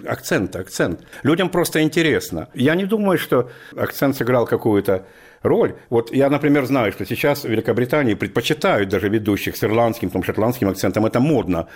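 A middle-aged writer from Ukraine, interviewed for a radio program, talking in a thoughtful way with lots of verbal fillers. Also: male voice, mid-range voice, animated, a little fast, husky. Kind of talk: relaxed conversational storytelling.

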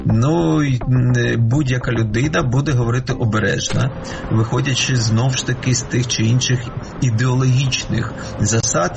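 Man, interviewee, speaks slowly and hesitantly, gravelly voice, soft music behind. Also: mid-range voice. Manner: thoughtful, halting, searching for words. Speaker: scholar interviewee. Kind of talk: reflective interview answer.

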